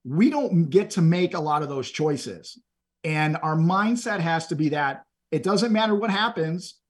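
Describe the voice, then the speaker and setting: A middle-aged man from Texas talks with a husky, slightly high voice. He speaks earnestly and motivationally, often repeating a word as he gets a phrase started. His tone is conversational, like a podcast guest sharing stories. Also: expressive, a little slow. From Texas, USA. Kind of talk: conversational storytelling.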